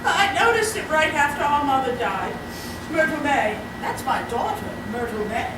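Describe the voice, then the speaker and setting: Echoey, high-pitched flustered society mother played by an actress. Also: lively intonation, normal speed. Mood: hesitant, troubled. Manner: fretful, emphatic, haughty complaining. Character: flustered, indignant middle-aged society mother, exasperated by her brother. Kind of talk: comic stage play performed live. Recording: echoey, very noisy hall from far off.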